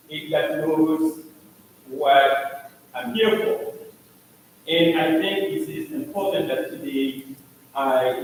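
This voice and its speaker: Haitian accent middle-aged priest, slow halting talk, high lively voice, far off in a big echoey church. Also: male voice, a little hiss or hum. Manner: slow, halting, with many restarts and fillers. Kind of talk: introducing himself to the congregation. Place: large church hall.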